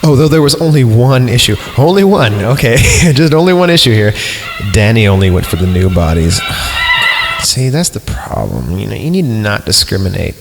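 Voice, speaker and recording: A man's voice: medium pitch, wildly swooping pitch, moderate pace, gravelly, over music. A man hosting a podcast, reading a story aloud and breaking off into rambling, irreverent joking asides. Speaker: podcast host.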